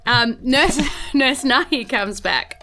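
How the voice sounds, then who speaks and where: A woman with a New Zealand accent speaks in a bright, forward voice as she runs a tabletop game. She narrates off the cuff in loose, chatty phrasing, with faint music underneath. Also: fairly high pitch, slow. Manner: informal, conversational, hedging with "like" and "you know". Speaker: game master.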